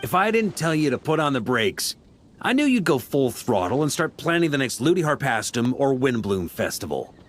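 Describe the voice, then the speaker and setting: An actor playing a jovial, easygoing knight grandmaster in an anime game, with a hearty, informal, teasing manner. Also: male voice, medium pitch, very animated, normal speed, a little hiss or hum, natural voice. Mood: reassuring, warm. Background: background music.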